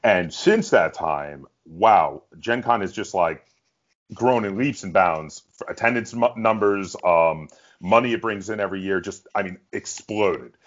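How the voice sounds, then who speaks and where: Low-voiced middle-aged man interviewing, slightly muffled. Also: unhurried. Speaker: interviewer, podcast host. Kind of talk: casual fan interview. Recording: dull lo-fi sound.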